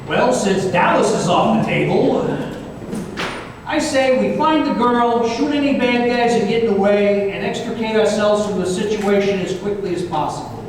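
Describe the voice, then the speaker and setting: Radio play detective, played by a man: high voice, wry and conversational, heard far from the mic in a reverberant hall. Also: moderate pace, close to an everyday voice. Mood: wry, urgent. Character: wisecracking male detective recounting a supernatural gunfight. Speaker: actor in a staged radio play. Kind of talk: old-time radio drama storytelling. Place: live performance in a reverberant hall. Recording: very echoey hall, distant mic, faint noise.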